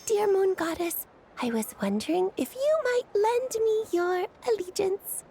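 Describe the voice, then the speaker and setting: A woman's voice: extremely high pitch, lively intonation, mellow, slight background noise, full character voice. A woman voicing a mischievous, free-spirited little fluffy creature in a video game, with theatrical, exclamatory delivery. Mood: dramatic pleading. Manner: theatrical, exclamatory storytelling with giggles and drawn-out words.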